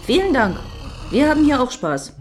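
A woman's voice: high voice, big pitch swings, moderate pace. An actress playing an earnest, reproachful and solemn female devotee in a German radio play. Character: earnest, indignant female devotee on a protective mission. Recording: faint noise and clicks.

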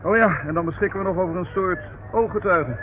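Actor as fast-talking higher-voiced policeman. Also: lightly acted. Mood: brisk. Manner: brisk, reporting. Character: eager, efficient male police officer reporting to superiors. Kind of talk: radio play acting. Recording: narrowband old radio recording, clear noise.

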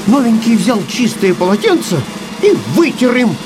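Expressive male children's story narrator. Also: extremely high pitch, moderate pace, noisy background.

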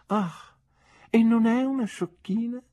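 A man voicing a shrewd, gently scolding elderly aunt in an audiobook, with clipped, knowing remarks. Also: very high-pitched, takes it slowly, pitched above the performer's own voice. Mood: teasing. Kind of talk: audiobook character voice.

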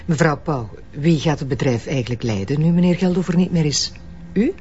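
Investigator, Flemish accent, lowish voice, swooping intonation, piqued, sarcastic, needling, TV drama. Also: female voice, lively intonation, brisk, a little mellow, highs cut off, slight background noise. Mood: probing. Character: piqued, sharp-tongued female investigator. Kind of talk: naturalistic TV drama dialogue.

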